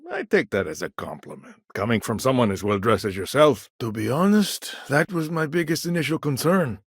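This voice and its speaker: Casting: a smooth, wry corporate dealmaker for a video game; gravelly tone, lively intonation, dry close recording. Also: male voice, medium pitch, fairly quick, dry recording. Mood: wry, charming. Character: smooth, shrewd, courteous male corporate business negotiator. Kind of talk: video game dialogue lines.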